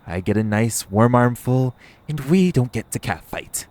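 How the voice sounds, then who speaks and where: A man's voice: mid-range voice, animated, measured pace, noisy background, natural voice. A man playing a cocky, flirtatious vampire who banters sardonically and swears in an audio roleplay. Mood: playful, smug. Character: cocky, teasing, flirtatious male vampire, long-lived yet youthful.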